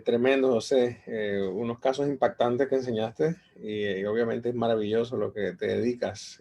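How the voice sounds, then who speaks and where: A man hosting a webinar and asking a guest questions, pausing with fillers. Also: mid-range voice, darkish tone. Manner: slow, gravelly, with fillers. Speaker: webinar host. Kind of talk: interview questions.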